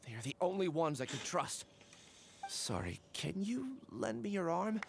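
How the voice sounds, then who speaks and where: A desperate, weakened video game victim. His strained voice sits high for a man and lurches up and down as he pleads. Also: big pitch swings, unhurried, gravelly, slight background noise, exaggerated voice. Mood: weak, urgent. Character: frantic, exhausted male victim pleading for help. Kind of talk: video game dialogue acting.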